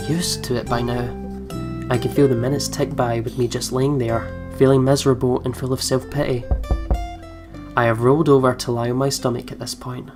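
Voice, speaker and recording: A man doing let's play commentary, reading game narration aloud in an expressive way with playful asides. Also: medium pitch. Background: soft game music with guitar.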